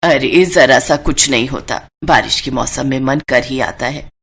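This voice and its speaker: A woman voicing a low-pitched stubborn old mother-in-law. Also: measured pace, gravelly, a bit bright, husky, heavily acted. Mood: grumbling, coaxing. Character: elderly Indian mother-in-law, stubborn but easygoing. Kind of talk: Hindi cartoon moral story acting.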